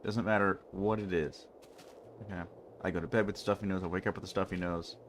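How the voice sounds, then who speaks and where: Deep-voiced man with a stuffy nose. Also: steady tone, fairly quick, a little hiss or hum. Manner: rambling, jokey, talks to chat. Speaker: game streamer. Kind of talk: casual live-stream chat while playing.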